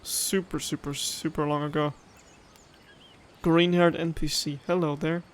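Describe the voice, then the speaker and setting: A woman's voice: low voice, flat delivery, measured pace. A woman gaming streamer giving casual let's-play commentary. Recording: game music playing behind.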